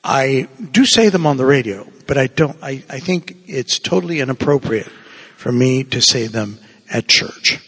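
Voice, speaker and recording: Man, Cuban-born priest, husky voice, long church reverb. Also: mid-range voice, animated, moderate pace, a bit bright. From Cuba. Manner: conversational, digressive preaching with asides. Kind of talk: homily.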